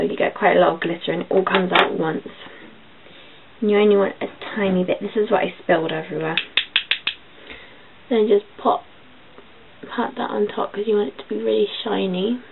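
A young woman with a croaky voice, chatting casually through a makeup tutorial in her bedroom, with filler ums as she goes. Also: medium pitch. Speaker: beauty vlogger. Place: small bedroom. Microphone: built-in webcam mic. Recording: tinny lo-fi webcam audio, faint hiss.

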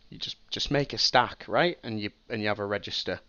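A man's voice: on the low side, brisk, bright, forceful tone, clear, resonant tone, no echo. A young man talks through a design on a casual live stream, thinking aloud and correcting himself as he goes. He has a cold and sounds sniffly. The sound is dry and a bit dull, as from a home streaming setup. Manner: thinking aloud, many ums and restarts. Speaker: streamer teaching game programming.

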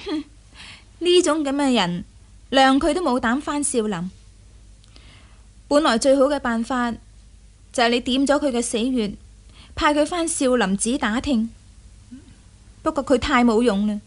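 A clever young heroine in a radio play. Her voice is very high. She is imperious, shrewd and teasing. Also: unhurried, long pauses. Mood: scheming. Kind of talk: Cantonese radio drama acting.

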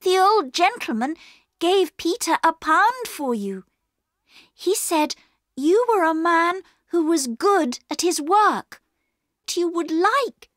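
Woman as young girl, earnest, kind-hearted, emphatic, high swooping voice, storybook. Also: lively intonation, slow, a bit bright, no room sound, studio quality, full character voice. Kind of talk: storybook character reading.

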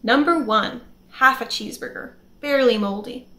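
A woman's voice: a little high, moderate pace, fairly bright tone, lightly acted. A woman voicing a hungry, hopeful male gardener who speaks plainly and wistfully in a storybook reading. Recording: echoey room with faint music.

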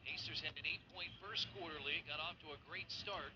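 A man with a notably high voice calling basketball plays in brisk broadcast fashion. The sound is dull and muffled, with steady noise underneath. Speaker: basketball play-by-play commentator. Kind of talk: broadcast sports commentary.

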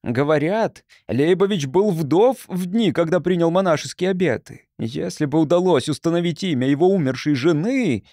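A man's voice: fairly high pitch, expressive, normal speed, hardly any pauses, warm tone, no room sound, clean studio sound, voice pushed up. A man voicing a formal church messenger in an audiobook, announcing things emphatically. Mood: important, cautious. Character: messenger from a seminary, male, formal and important.

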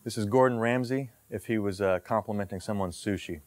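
A man's voice: on the low side, a little fast. A man in his thirties casually introducing bits to camera as a comedian, on a lapel mic. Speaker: comedian presenting a character reel. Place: indoor room with brick wall.

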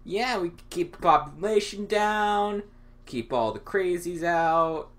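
Stammering young man, bright voice. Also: sits high, animated, slow pace. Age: young adult. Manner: stammering, absurd and sarcastic. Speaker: channel host. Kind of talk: rambling improvised monologue.

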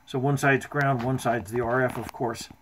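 A man's voice: mid-range voice, steady tone, moderate pace, a bit bright, a little hiss or hum, poor audio. A man in his seventies giving an informal, matter-of-fact technical show-and-tell of his homemade radio equipment in a workshop. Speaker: amateur radio hobbyist. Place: indoor home workshop.

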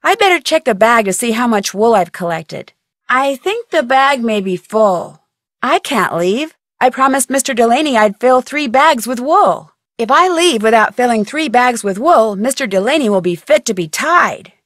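A woman voicing an inquisitive, polite young female detective in a video game, with expressive delivery. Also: on the high side, moderate pace, dry recording, clean studio sound. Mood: matter-of-fact.

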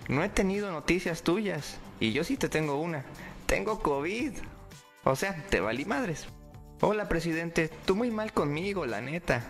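A man doing a desperate, begging woman, her voice pitched high and rough with fry. She reads out her chat messages in a pleading tone, over faint music. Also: expressive, measured pace. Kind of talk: reading chat messages aloud.

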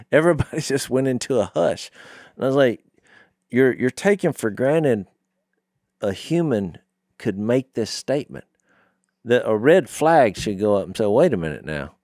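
A middle-aged man talks through scripture as a podcast co-host. He tells it like a story, leaning on key phrases, at a medium pitch. The sound is close and dry. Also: a little mellow, no room sound. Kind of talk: conversational Bible discussion. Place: small wood-panelled podcast studio. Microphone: studio microphone on boom, close.

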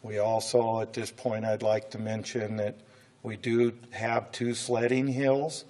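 Middle-aged man, American accent, lowish voice, fairly even pitch, voice worn by a cold, plain-spoken, halting, city official presenting. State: voice coming and going from a cold. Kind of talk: informal presentation to a city council. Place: indoor council chamber, standing at a podium.

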